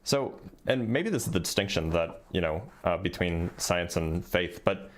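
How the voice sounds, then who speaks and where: A man's voice: low-pitched, expressive, moderate pace. A man hosting a debate call, probing and pressing the caller for specifics. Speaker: debate host.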